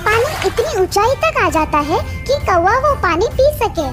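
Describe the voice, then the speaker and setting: A woman's voice: extremely high pitch, big pitch swings, measured pace. A woman narrating a scripted children's story as a storyteller, with music behind her voice.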